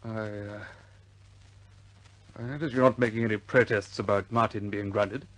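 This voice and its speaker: Actor as reserved, halting senior air force commander. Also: male voice, fairly low pitch, fairly even intonation, no room sound, natural voice, low-quality recording. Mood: probing. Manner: halting, reserved, quietly authoritative. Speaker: commanding officer. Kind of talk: vintage radio war drama acting.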